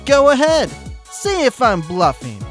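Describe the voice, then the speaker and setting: A man voicing a cocky aerobics instructor defendant, taunting in a slow, mocking drawl. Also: very high-pitched, wildly swooping pitch, fairly bright tone, faint music behind, heavily acted. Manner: taunting, drawn-out mocking delivery.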